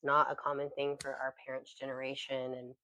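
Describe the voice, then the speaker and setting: Low-voiced soulful woman podcaster. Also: monotone, fairly quick, no echo, rough recording. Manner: soulful, warm, emphatic. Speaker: podcast co-host. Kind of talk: casual heartfelt chat.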